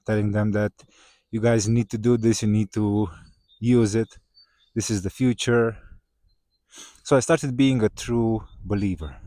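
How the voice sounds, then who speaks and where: A man whose first language is Romanian, talking casually to his viewers with many "uh" fillers and tag questions, growing more animated in places. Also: on the low side, dry recording. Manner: conversational, many "uh" fillers and "right?" tags, rising when excited. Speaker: vlogger. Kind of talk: casual talk to camera.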